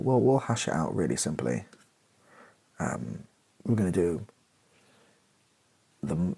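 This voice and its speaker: British male, deep creaky voice, rambling ASMR writing session, typing behind. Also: a little mellow. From Britain. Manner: rambling, self-deprecating, halting as he thinks. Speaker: YouTuber, ASMR video creator. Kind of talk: improvised ASMR writing session, thinking aloud. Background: computer keyboard typing and clicks.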